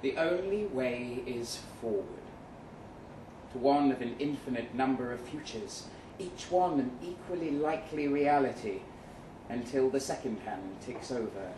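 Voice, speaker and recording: A man reading a first-person short story aloud dramatically, with measured delivery and deliberate pauses. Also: medium pitch. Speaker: short story reader at a live event. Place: event room in a pub. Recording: roomy, distant mic with faint noise.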